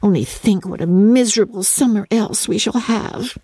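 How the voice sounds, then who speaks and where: A woman's voice: mid-range voice, moderate pace, dark tone, husky, no room sound, clean studio sound, pitched above the performer's own voice, natural voice. A woman voicing a giddy, gossipy, careless teenage girl in an audiobook, gushing and chattering. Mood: excited, pleading.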